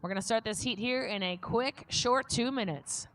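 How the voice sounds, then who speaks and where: Brief: high, dry-sounding woman, brisk live event announcer on a stage mic. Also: a bit bright, no echo. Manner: brisk, reads out lane lists. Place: competition stage at a fitness event.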